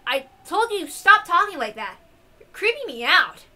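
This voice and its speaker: A brash, excitable young master, acted by a woman in a visual novel. The voice is bright and high, with casual, emphatic, loud lines. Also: normal speed, heavily acted. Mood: uneasy, teasing.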